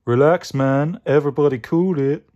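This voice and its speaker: A man's voice: on the high side, moderate pace, mellow, lo-fi sound, pitched above the performer's own voice, lightly acted. A man voicing a laid-back, mystical hippie mango in a children's storybook, full of drawling hippie slang. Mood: laid-back, reassuring.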